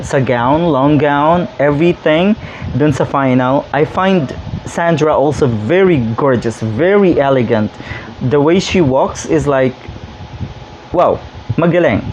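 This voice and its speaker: Higher-voiced Filipino man, dull lo-fi sound. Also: darkish tone. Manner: chatty, opinionated, emphatic with rhetorical questions. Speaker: host, pageant commentator. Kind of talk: informal video commentary, mixing Tagalog and English. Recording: dull lo-fi sound, faint background noise.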